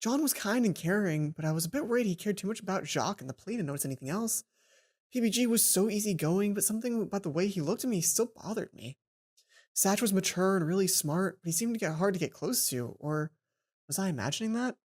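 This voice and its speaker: A woman reading a visual novel game aloud as a let's play host, playful and expressive, often stumbling and rephrasing. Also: mid-range voice, fast.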